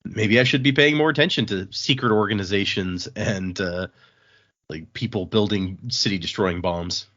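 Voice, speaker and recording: A man's voice: fairly low pitch, normal speed, sharp tone, dull audio. A man hosting a podcast, chatting casually through a discussion of a book. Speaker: podcast host.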